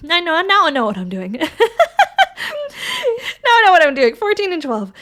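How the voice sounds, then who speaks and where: Bright high-voiced woman streamer. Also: very animated, moderate pace. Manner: laughs often, swears freely, reads chat aloud. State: voice raspy from allergies. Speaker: livestreamer. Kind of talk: casual livestream chat, answering viewers.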